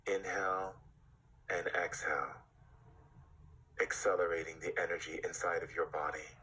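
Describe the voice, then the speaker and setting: A man leading a guided meditation with a husky, breathy voice, giving hypnotic, directive instructions with long pauses. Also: low-pitched, bright, forceful tone, low-quality recording.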